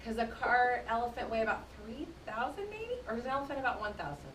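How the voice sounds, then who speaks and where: A woman reads aloud to a classroom of young children, pausing to explain things in simple, conversational terms. Her voice sits fairly high and sounds distant in an echoing room, with a low rumble of noise behind her. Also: very animated, fairly bright tone. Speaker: teacher reading aloud to children. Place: indoor room, speaking to a class.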